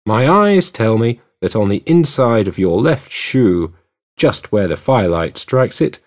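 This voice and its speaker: A man voicing a keen, superior, teasing male detective in an audiobook, brisk, assured and precise. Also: lowish voice, big pitch swings. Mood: explaining, assured. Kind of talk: audiobook character dialogue.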